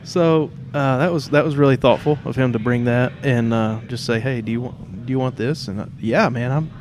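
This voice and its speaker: A man with a medium-pitched voice hosts a casual podcast conversation. He talks informally, as if chatting in a shared theater studio. There is clear background noise behind him. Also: normal speed. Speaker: podcast host.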